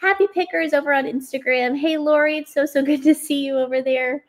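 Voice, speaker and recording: A woman's voice: high voice, fairly even intonation, fast. A woman co-hosting a live stream, upbeat and chatty with lots of fillers, her voice a little worn from a cold. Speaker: co-host of a live product demo. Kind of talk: casual live-stream chat.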